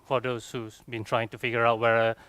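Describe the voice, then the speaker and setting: A man's voice: mid-range voice, flat delivery, normal speed, a bit bright, smooth, no echo. A young man with a Malaysian accent giving an informal, chatty technical talk as a conference speaker, often checking in with his listeners. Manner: chatty, frequent rhetorical check-ins like "okay" and "right". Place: conference room, on stage before a seated audience.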